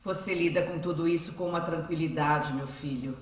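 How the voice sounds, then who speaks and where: A woman's voice: deep voice, steady tone, brisk, a little hiss or hum, lightly acted. A woman playing a devout, anxious mother of a grown son in a radio drama, emotional and emphatic. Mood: tender, devout. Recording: roomy echo, distant from the mic.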